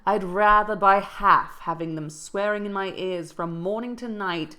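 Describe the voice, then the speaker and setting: A woman's voice: mid-range voice, a little slow, sharp tone. A woman voicing a grumbling, pious old manservant who scolds and complains. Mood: scornful.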